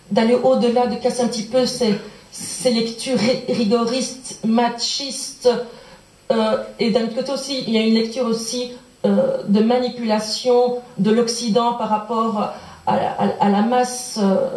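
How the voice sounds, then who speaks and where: A woman's voice: fairly high pitch, warm tone. A woman from Belgium, asking an earnest, searching question from the audience at a public lecture and circling back on her words. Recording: echoey conference hall.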